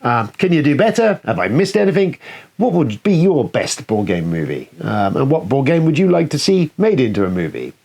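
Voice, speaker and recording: British man, lively and slightly husky. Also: mid-range voice, normal speed. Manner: sweary, self-deprecating. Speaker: board game review show host. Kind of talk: casual, rambling video commentary.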